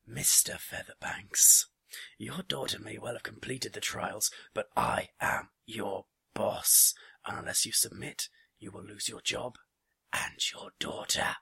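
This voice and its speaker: A man's voice: lowish voice, takes it slowly, gravelly, pushed and bright, breathy edge, heavily acted. A man voicing a stern, angry, threatening boss in a story. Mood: cold threat. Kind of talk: audiobook character dialogue.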